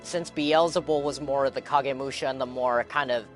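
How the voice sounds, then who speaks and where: A man's voice: medium pitch, fairly even intonation, normal speed, soft background music. A man giving casual live reaction commentary as a game streamer, musing and thinking aloud.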